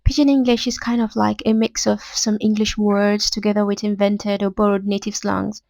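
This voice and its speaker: A woman's voice: mid-range voice, level tone, vocal fry, darkish tone, dry recording, highs cut off. Nigerian woman reading a formal essay. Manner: formal, academic wording, measured reading. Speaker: podcast host. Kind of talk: reading a prepared literary essay.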